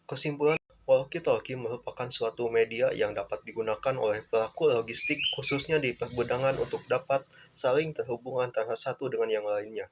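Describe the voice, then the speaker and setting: A young man, a student presenter, reading out a class presentation in a plain, measured way. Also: medium pitch, a little hiss or hum.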